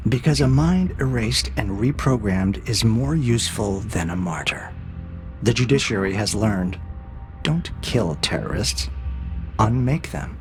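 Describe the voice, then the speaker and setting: An actor playing a cold, calculating interrogator AI in an audio drama, with a measured, probing and quietly menacing manner. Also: male voice, on the low side, lively intonation, faint music behind. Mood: detached, menacing. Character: cold, calculating male interrogator AI breaking a prisoner. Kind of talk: scripted audio drama acting.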